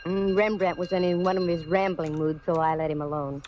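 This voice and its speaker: A woman's voice: lowish voice, steady tone, fairly quick, a little mellow, close to an everyday voice. A hard-boiled female private eye of 1940s radio, played by an actress, wisecracking and sure of herself. The old recording is muffled, with faint noise under the voice. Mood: wry narrating. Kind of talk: 1940s radio detective acting, narration and dialogue.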